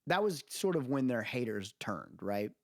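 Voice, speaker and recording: A man's voice: medium pitch, sing-song, normal speed, vocal fry, no room sound, studio quality. An American man talking casually on a podcast, arguing his points informally and often checking that he is understood. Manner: conversational, checks in with "you know what I mean".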